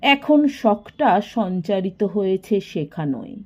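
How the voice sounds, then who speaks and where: A woman narrating a literary story in an expressive, measured and dramatic way. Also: medium pitch. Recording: dull, lo-fi recording.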